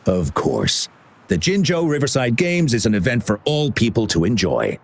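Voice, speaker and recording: A man's voice: medium pitch, moderate pace, vocal fry, heavily acted. A man voicing a genial, chatty older director of a sporting event in a video game, with an encouraging, nostalgic manner. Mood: welcoming, cheerful. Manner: avuncular, encouraging, animated. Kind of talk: video game character dialogue.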